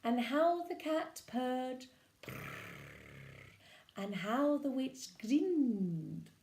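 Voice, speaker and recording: A woman reading a children's picture book aloud with animated, lively expression. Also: sits high, very slow. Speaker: storyteller. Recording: echoey room.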